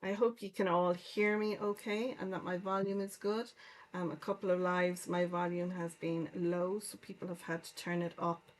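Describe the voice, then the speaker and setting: A middle-aged woman from County Clare with a soft Irish accent, chatting casually while she works through a card-making livestream. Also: fairly low pitch, flat delivery, moderate pace, poor audio. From Ireland, County Clare. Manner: chatty, explains each step while working. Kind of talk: casual crafting livestream chat.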